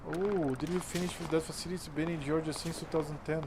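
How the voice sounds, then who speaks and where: A man from Brazil speaks English with a Brazilian accent, his voice sitting a little high for a man. He chats loosely with viewers while reading game text half to himself, swearing easily. Steady game noise runs clearly under his voice. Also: fast talker. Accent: Brazilian Portuguese. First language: Portuguese. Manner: reads game text aloud half under his breath, swears often, loud surprised outbursts. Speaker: gameplay streamer. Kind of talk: casual gameplay commentary chatting with stream viewers.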